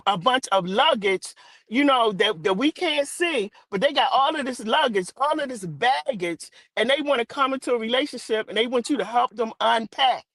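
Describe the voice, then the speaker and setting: A woman's voice: on the high side, lively intonation, moderate pace, fairly bright tone, no room sound. A woman chatting casually on a live talk show, plain-spoken and emphatic, repeating phrases for stress.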